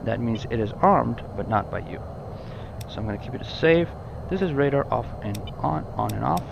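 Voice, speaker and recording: Man explaining over loud noise, dull mic. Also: mid-range voice, lively intonation, normal speed, vocal fry. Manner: explanatory, informal, often repeats and rephrases. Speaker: gaming tutorial presenter. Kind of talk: casual cockpit walkthrough in a flight simulator.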